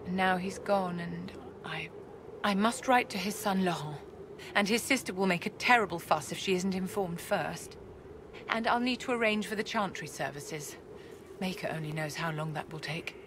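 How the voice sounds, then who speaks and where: An elegant, imperious court sorceress from a fantasy game, acted by a woman. Her voice sits fairly low and she speaks with poise and condescension, every phrase measured and formal. Clear ambient noise runs behind her. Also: lightly acted. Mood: subdued, brisk. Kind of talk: video game cutscene acting.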